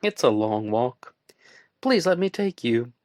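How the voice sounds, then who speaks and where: A woman reading a story aloud and voicing a weary, worn-down man who speaks in a low-key, flat way. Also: measured pace, no room sound, studio quality, heavily acted.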